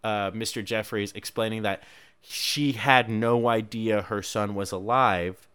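Smooth-voiced man recapping a film. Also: lowish voice, unhurried. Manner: wry, storytelling, deadpan jokes. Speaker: podcast host. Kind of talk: casual movie recap chat.

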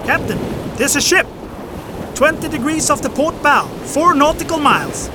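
An actor playing an earnest, haunted first mate in a radio drama. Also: male voice, extremely high pitch, big pitch swings, background noise. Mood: urgent. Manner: halting, broken phrases when recounting.

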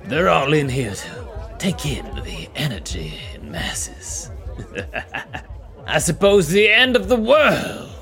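A man playing a grandiose, theatrical club boss in an audio drama, savoring his words in a creaky voice. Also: on the high side, big pitch swings, takes it slowly, fairly bright tone, soft background music, some chatter behind, exaggerated voice. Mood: grandiose, delighted.

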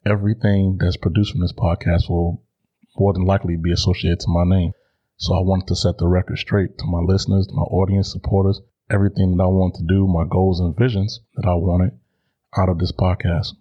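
A man's voice: low-pitched, level tone, a little fast, dull audio. A man in his thirties from Chicago with an American accent, hosting a podcast in a conversational solo monologue. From Chicago, United States. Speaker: podcast host.

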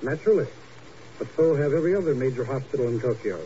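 An actor as an urbane hospital doctor with a mellow, medium-pitched voice. He speaks formally and measuredly, courteous but guarded and a touch patronizing. It is a muffled, noisy 1950s radio recording. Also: expressive, fast talker, close to an everyday voice. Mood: smooth, guarded. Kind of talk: 1950s radio drama acting.

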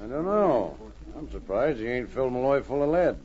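An actor playing a plainspoken, disapproving frontier miner in vintage radio drama style. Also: male voice, medium pitch, sing-song, brisk, darkish tone, lightly acted. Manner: plainspoken, folksy. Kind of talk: 1940s radio acting. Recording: dull, hissy old radio recording.

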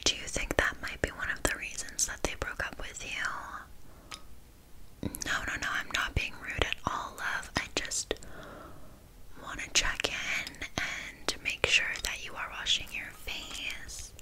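A woman's voice: measured pace, close to an everyday voice, low-quality recording. A woman voicing a blunt, vain, chatty town witch in a whispered ASMR roleplay, casually condescending. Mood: politely judgmental.

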